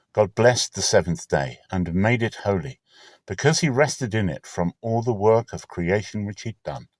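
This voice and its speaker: Low-voiced man giving a dramatic scripture reading. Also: darkish tone, dry recording, studio quality. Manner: solemn, declamatory storytelling. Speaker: scripture narrator.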